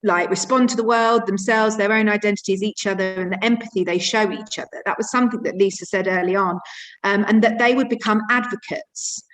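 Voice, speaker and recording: A woman's voice: medium pitch, fairly even intonation, brisk, a bit bright, poor audio. A woman giving an informal, reflective explanation as a theatre education practitioner.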